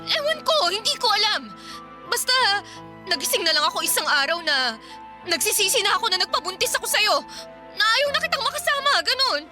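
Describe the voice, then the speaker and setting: A woman playing a sharp-tongued young wife in a radio drama, shrill and confrontational, with faint music behind. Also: very animated, a little fast, exaggerated voice. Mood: scornful.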